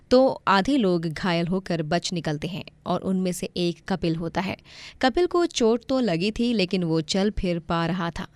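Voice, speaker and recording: A woman's voice: lowish voice, darkish tone, dry recording. A woman reading scripted narration for a children's moral story.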